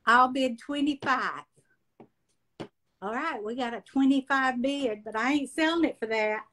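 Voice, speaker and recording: A woman's voice: high voice, unhurried, fairly bright tone. A woman, hosting a casual live chat in her craft room, folksy and chatty. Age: 60s. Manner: folksy, chatty, laughs often. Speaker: livestream host.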